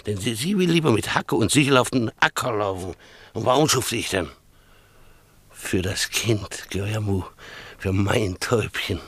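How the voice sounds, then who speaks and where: An actor playing a proud, boastful rich Greek father in a German radio play, emphatic and scornful, with a husky voice. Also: fairly low pitch, fairly bright tone, dry recording, heavily acted. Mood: tender pride. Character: proud, self-made rich Greek father, possessive of his daughter.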